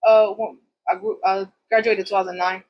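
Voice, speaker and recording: A woman's voice: medium pitch, level tone, a little fast, highs cut off, slight background noise. A woman in her thirties from Puerto Rico, a salon owner telling her story in a loose, chatty interview style with plenty of fillers.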